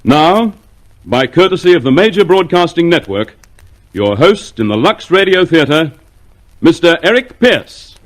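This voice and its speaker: A man's voice: a little high, sing-song, measured pace. A man announcing an old-time radio show in a formal, showy manner.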